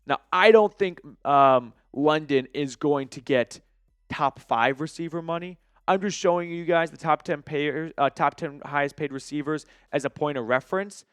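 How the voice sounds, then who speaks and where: American male sports host, slightly high smooth voice, opinionated and conversational, close dry sound. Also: normal speed, no room sound. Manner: opinionated, argues point by point, emphatic. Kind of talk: conversational sports commentary to viewers.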